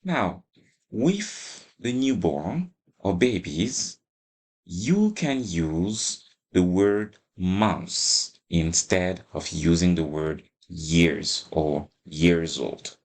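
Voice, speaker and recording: A man's voice: low voice, animated, drawn-out words, darkish tone. A man giving an instructional English lesson, explaining emphatically with plenty of repetition and frequent check-in questions. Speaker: English teacher.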